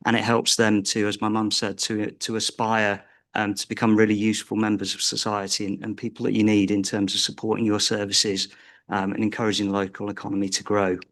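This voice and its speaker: Guy in his 40s from norfolk, kinda low voice, lots of ums, addressing councillors. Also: monotone, a little fast, a bit bright. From Norfolk, England. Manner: hesitant, many ums and restarts. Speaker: public speaker at a council meeting. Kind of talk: prepared public statement. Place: council chamber.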